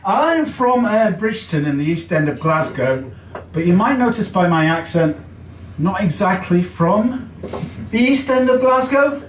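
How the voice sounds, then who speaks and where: A man's voice: high voice, sing-song, unhurried, recorded from a distance, noisy background. English man doing stand-up in an echoey basement. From England. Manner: chatty and self-deprecating, with frequent uh fillers. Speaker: stand-up comedian. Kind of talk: stand-up comedy set. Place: basement comedy club.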